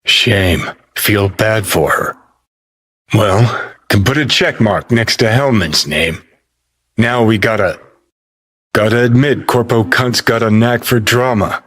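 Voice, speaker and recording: A jaded rebel rockerboy, a digital ghost in a video game. His voice sits fairly low and moves at a very unhurried drawl. He is gruff and sardonic, speaking in short, clipped phrases. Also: a bit bright. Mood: bitter, sarcastic. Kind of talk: video game character voice acting.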